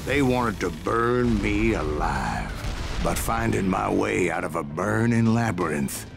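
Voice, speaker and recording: A gravelly, stubborn old gunslinger narrates his own legend for a game. His is folksy and self-assured, rising and falling expressively, with music playing behind him. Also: sits low, expressive, slow, heavily acted. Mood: bitter, determined.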